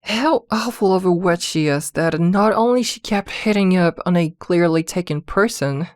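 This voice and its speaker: A woman's voice: lowish voice, normal speed, dry recording, clean studio sound, natural voice. A woman playing a possessive, protective witch girlfriend in an ASMR roleplay, scolding and fussing tenderly by turns. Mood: jealous, possessive. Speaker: voice actor in an audio roleplay.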